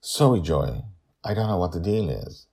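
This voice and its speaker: A man's voice: sits low, big pitch swings, normal speed, dark tone, breathy edge, voice pushed up, exaggerated voice. A man voicing an earnest father in an audio story, incanting intensely and repetitively. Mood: apologetic. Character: earnest father straining to summon a dragon.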